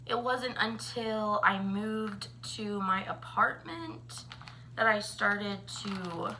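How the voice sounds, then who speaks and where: A woman, chatting casually to camera in a slightly raspy morning voice, full of ums and likes. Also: medium pitch, pushed and bright, slight background noise, rough recording. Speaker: vlogger.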